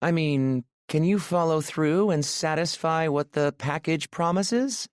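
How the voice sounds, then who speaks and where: A man's voice: on the high side, unhurried, clear, resonant tone, no echo, clean studio sound, voice pushed up, lightly acted. A man putting on a shy, playful young woman. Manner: light, teasing, a little nervous.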